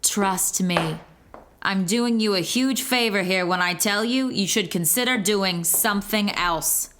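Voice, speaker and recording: An actress playing a middle-aged, masculine theater owner who is blunt, dismissive and bossy, in an audio musical. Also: female voice, mid-range voice, measured pace, fairly bright tone, slight background noise, natural voice. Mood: brusque, dismissive. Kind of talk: musical audio drama acting.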